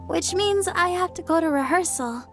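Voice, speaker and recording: A modest, helpful young idol girl from a video game. Her voice is extremely high and gentle, with an earnest, bashful delivery. Faint music sits underneath. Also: animated, normal speed, full character voice. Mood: earnest, thoughtful. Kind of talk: video game cutscene acting.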